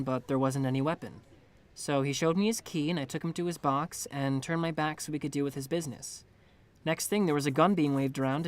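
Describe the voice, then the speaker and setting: A man's voice: on the high side, close to an everyday voice. An actor playing a hesitant, guarded young male bank teller in a radio drama.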